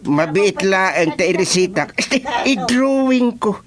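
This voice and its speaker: Casting: male actor as comic village doctor, exasperated and hesitant, very animated but unhurried, crowd chatter behind. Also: high-pitched. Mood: hesitant, instructing. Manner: drawn-out, full of hums and interjections. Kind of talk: Ilocano radio comedy drama acting.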